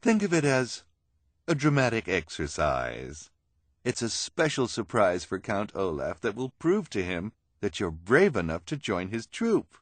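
A man's voice: fairly low pitch, huge pitch swings, a little slow, no room sound, pitched above the performer's own voice, exaggerated voice. A man voicing a haughty, scheming villainous woman who coaxes with emphatic, condescending persuasion in an audiobook. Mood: coaxing.

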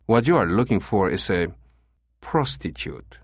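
A man's voice: fairly low pitch, very animated, normal speed, dry recording, clean studio sound. A man voicing a careful, polite businessman in an audiobook story, speaking in a formal and guarded manner. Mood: guarded, cool. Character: careful, smooth Spanish escort agency manager, a man.

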